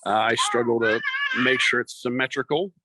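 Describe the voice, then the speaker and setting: A man's voice: medium pitch, fairly even intonation, normal speed, very noisy. A man narrating his own demonstration video, explaining each step plainly. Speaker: guest demonstrator.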